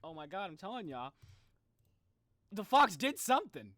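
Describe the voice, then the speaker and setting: A young man giving casual, excitable live reaction commentary, exclaiming and thinking aloud. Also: high voice, moderate pace, no room sound, studio quality. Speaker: reaction video host.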